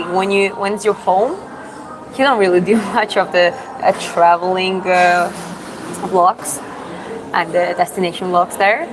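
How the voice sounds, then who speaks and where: A woman from Georgia, hosting a casual livestream Q&A and answering chat in an unhurried, thoughtful way with many fillers and restarts. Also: medium pitch, background noise. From Georgia (country). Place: public spot in Da Nang with other people around.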